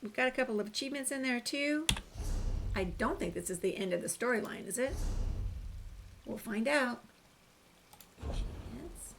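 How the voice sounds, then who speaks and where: Playful, higher-voiced woman gamer. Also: normal speed, pauses often, noisy background. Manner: playful, chatty, talks back to characters. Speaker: gamer doing a video game walkthrough. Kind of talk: casual let's play commentary.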